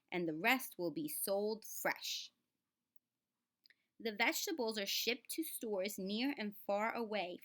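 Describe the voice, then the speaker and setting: A woman's voice: medium pitch, fairly bright tone. A woman reading a children's book aloud in a teaching way, speaking straight to the children and adding simple explanations. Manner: instructive, addresses children directly, sounds out words.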